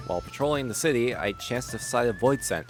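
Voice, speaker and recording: A streamer reading an earnest scout soldier. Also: male voice, medium pitch, fairly quick, soft background music, lightly acted. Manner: reading game dialogue aloud, lively.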